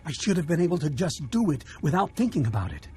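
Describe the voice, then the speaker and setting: A man's voice: fairly high pitch, very animated, fast talker, mellow, husky, faint music behind, full character voice. A man playing a cornered, self-important Imperial double agent in a video game, urgent and defensive. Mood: alarmed.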